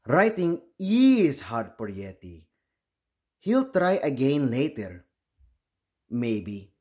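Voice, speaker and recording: A man's voice: medium pitch, very animated, slow pace, darkish tone. A man from the Philippines hosting a children's read-aloud, telling the story expressively and acting out the lines and sound words. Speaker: children's storybook reader and host.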